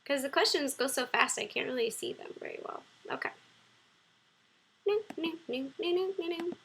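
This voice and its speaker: Young woman, bubbly livestream host, fairly high voice, bright and sharp tone, laughs a lot, hums while reading, webcam mic at home. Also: animated, normal speed, gravelly, slight background noise. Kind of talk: casual livestream chat. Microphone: computer webcam mic.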